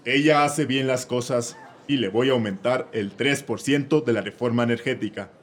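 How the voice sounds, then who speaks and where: A man's voice: medium pitch, normal speed. A man playing a bossy, corrupt male judge in a Mexican radio comedy sketch. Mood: stern. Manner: bossy, exasperated.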